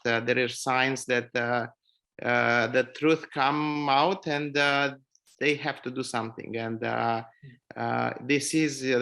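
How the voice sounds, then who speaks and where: A man speaks as a mining CEO on an online panel call. His voice is bright and forceful at an ordinary pitch. He talks earnestly and often restarts with fillers. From Romania.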